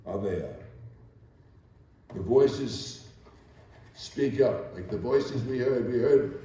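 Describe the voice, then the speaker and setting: An older man giving a theatrical reading to a live gathering, with deliberate, declamatory phrasing. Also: medium pitch, mellow, recorded from a distance. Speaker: actor performing a dramatic reading. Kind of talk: theatrical poetry recital and spoken presentation. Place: echoing indoor hall, live performance. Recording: very echoey hall, from afar.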